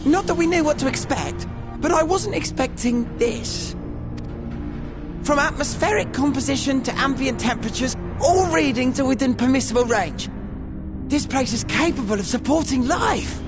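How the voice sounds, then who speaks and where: A woman's voice: sits high, lively intonation, normal speed, over music. A woman playing a composed, analytical scholar in a video game. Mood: measured wonder. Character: composed, analytical female scholar giving readings.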